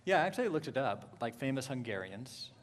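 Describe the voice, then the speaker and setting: A man hosting a casual interview at a church gathering, asking relaxed questions. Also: mid-range voice, fast talker, big-room sound. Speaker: church host. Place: church hall.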